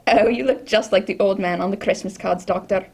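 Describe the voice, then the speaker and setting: A woman plays a kindly British housekeeper in a radio drama. Her mid-pitched voice has a gravelly creak, and she speaks in a homely, deferential way. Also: fairly even intonation, a little fast, a little mellow, a little hiss or hum, lightly acted. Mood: kindly, grateful. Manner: homely, respectful.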